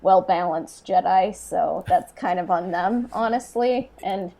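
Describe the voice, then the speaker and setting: A woman's voice: fairly low pitch, unhurried, dark tone. Woman thinking aloud on a podcast. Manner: informal, tentative, thinks aloud in fragments. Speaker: podcast co-host. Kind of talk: casual podcast discussion.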